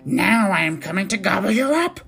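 A woman's voice: medium pitch, very animated, fairly quick, vocal fry, a bit bright, faint music behind. A woman voicing a mean, hungry, ugly troll in a children's storybook, roaring and drawing out the words with menace. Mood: menacing.

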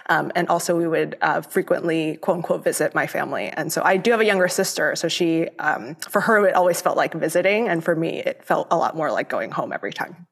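A young woman, born in Hong Kong and raised in Florida, speaking as a lay youth minister in an interview, thoughtful and pausing often with ums. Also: fairly low pitch. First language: Cantonese. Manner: thoughtful, with many ums and pauses. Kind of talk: interview conversation.

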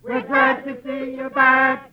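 An actor playing a dim-witted, well-meaning tavern regular who halts and stammers, in old-time radio comedy. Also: male voice, extremely high pitch, monotone. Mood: cheerful. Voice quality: dopey, slow-witted delivery. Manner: halting, stammering, losing the thread. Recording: muffled vintage radio recording.

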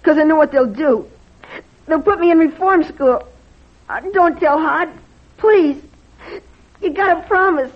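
Woman playing an earnest, high-voiced young boy. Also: measured pace, long pauses. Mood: pleading. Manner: earnest, folksy, boyish. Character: eager, pleading young orphan boy. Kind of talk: 1940s radio acting. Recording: dull old radio recording.

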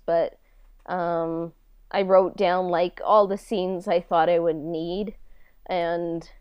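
A woman's voice: fairly low pitch, clear, resonant tone, dry recording. A woman chatting casually as a podcast guest about her own work, candid and self-deprecating, on slightly dull audio. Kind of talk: casual chat.

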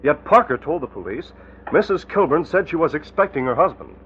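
An actor playing a decisive, cool crime-fighter in a 1940s radio drama, brisk and authoritative. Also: male voice, fairly high pitch, big pitch swings, slight background noise. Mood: explaining. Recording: muffled old radio recording.

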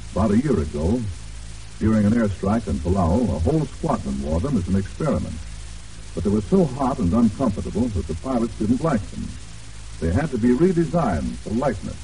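An American man announcing on 1940s radio, reading a script in a formal, polished way with deliberate emphasis. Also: on the low side, mellow, a little hiss or hum. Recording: old 1940s radio broadcast, distant, music.

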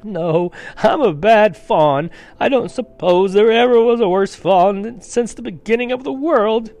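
A man's voice: fairly high pitch, lively intonation, a little slow, creaky, a little mellow, voice pushed up. A man voicing a gloomy, guilt-ridden fawn in a dramatic storybook reading, sobbing and wailing as he confesses. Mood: sobbing self-reproach. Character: weepy, guilt-ridden male fawn, gloomy and self-reproaching.

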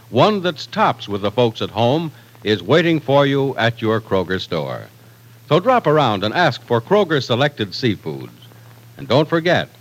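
Animated vintage male radio announcer. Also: mid-range voice, rough recording. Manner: polished, emphatic sales delivery. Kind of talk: 1940s radio announcing, scripted. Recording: vintage radio broadcast recording.

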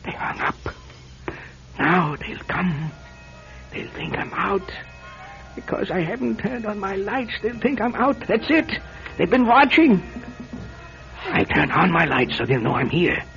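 High-voiced, frightened old recluse. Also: male voice, very animated, gravelly, fairly bright tone, soft background music, full character voice. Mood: anxious, fearful. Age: older. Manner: muttering to himself, halting, dramatic exclamations. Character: old frightened, paranoid, secretive male recluse. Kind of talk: old-time radio drama acting. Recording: dull lo-fi radio drama recording.

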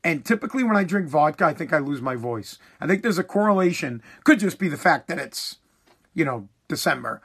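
A man's voice: on the high side, sing-song, fairly quick, a bit bright, breathy edge. A man hosting his own podcast, ranting bluntly and heatedly with swearing while he is losing his voice. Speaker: podcast host.

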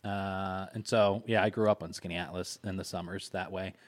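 A man hosting a podcast, talking in a relaxed, rambling way and swearing now and then. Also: deep voice, moderate pace. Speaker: podcast host. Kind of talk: casual conversation.

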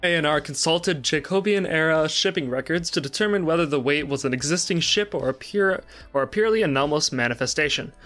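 Man, fairly high pitch, bright forceful tone, livestream reading and casual chat, music behind. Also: brisk, few pauses. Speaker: guest on a charity stream.

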